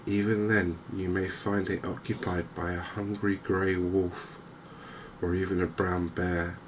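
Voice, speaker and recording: Slow, low-voiced English man, softly spoken. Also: level tone, noisy background. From England. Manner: soft-spoken, gentle, unhurried. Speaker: gaming channel host. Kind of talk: softly spoken cozy ASMR game commentary.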